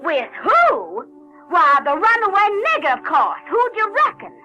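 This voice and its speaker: High, animated Southern aunt. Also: female voice, measured pace, muffled recording, heavily acted. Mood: indignant. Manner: exclamatory, folksy scolding. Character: scolding, folksy Southern aunt, exasperated but caring. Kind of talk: audio theater acting. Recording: vintage 1970s audio drama with music.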